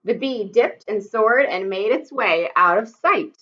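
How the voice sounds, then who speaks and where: A woman's voice: mid-range voice, lively intonation, a little slow, a bit bright. A woman reading a children's story aloud expressively, like a teacher, in slightly dull audio.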